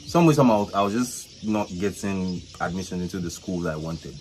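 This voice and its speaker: A man's voice: deep voice, fairly quick, faint music behind. A man in his twenties from Nigeria, a medical student being interviewed outdoors, talking hesitantly with many fillers. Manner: hesitant, heavy use of fillers. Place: outdoors at a scenic park. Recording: outdoor recording with loud background noise.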